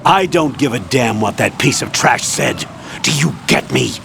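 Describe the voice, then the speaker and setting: A man's voice: medium pitch, lively intonation, moderate pace, vocal fry, background noise. An actor playing a curt, authoritative male military colonel in a video game, with clipped delivery. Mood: stern. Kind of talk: dramatic video game acting.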